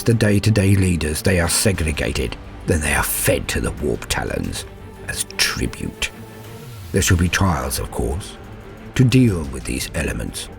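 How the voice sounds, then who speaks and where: A man voicing a ruthless, zealous warrior of dark gods who recounts his conquest in a menacing, theatrical and grandiose way. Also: low voice, vocal fry, exaggerated voice. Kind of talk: dramatic first-person war story narration.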